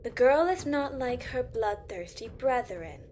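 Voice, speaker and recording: A woman playing a proud, stern siren sitting in judgement, measured and authoritative, in a fantasy audio drama. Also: on the high side, animated, a little mellow, faint music behind.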